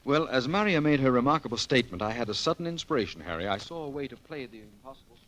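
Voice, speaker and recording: Actor as wry radio sleuth, lively delivery. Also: male voice, medium pitch, fast, lightly acted, low-quality recording. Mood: narrating casually. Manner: bantering, ironic, hesitating when flustered. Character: wry, smooth-talking male amateur sleuth in a fix. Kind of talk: old-time radio mystery acting.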